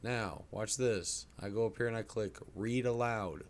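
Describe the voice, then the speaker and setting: A man presenting a casual software tutorial in a folksy, informal way. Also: lowish voice, measured pace. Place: indoors at a computer. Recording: clear background noise.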